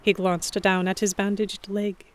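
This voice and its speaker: A woman's voice: mid-range voice, little pitch movement, unhurried, close to an everyday voice. A woman playing an anxious young noblewoman who narrates an audio drama in a fretful, tentative, introspective manner. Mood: tentative, awkward. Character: anxious young noblewoman heroine narrating her captivity among pirates. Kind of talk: first-person scripted narration in audio drama.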